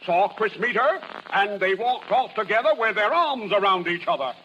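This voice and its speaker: Casting: self-important radio-play colonel, flowery and blustery, piercing high tone, faint hiss on old recording. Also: male voice, animated, normal speed, pushed and bright. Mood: indignant. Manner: pompous, florid, theatrical diction. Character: pompous, blustery carnival colonel scolding with flowery outrage. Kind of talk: 1930s radio acting. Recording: dull, hissy 1930s radio recording.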